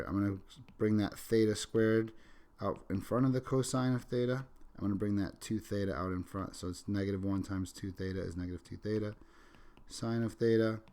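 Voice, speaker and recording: A man's voice: fairly low pitch, mellow. A man teaching a calculus lesson, sick and sniffling, talking through each step as he writes and correcting himself along the way. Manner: talks through steps while writing, self-correcting asides. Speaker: math teacher. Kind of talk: recorded calculus lecture. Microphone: microphone.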